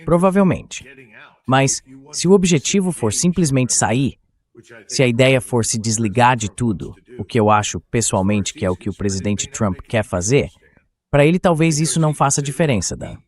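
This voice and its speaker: A man's voice: medium pitch, lively intonation, normal speed, darkish tone. Synthetic male voice giving political commentary. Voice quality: synthetic AI voice-over. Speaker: interview guest, political commentator. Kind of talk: dubbed translation of interview analysis.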